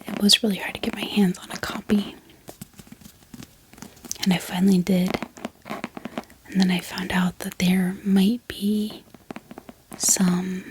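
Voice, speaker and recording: A woman speaking in a soft, breathy ASMR whisper, gentle and unhurried, like a book vlogger showing off her collection. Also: medium pitch, background noise.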